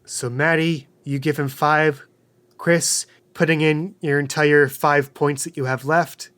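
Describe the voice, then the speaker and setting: A man's voice: fairly high pitch, steady tone, slow pace, a bit bright. A man narrating a tabletop roleplay game as game master, describing scenes directly to the players. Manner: descriptive second-person narration.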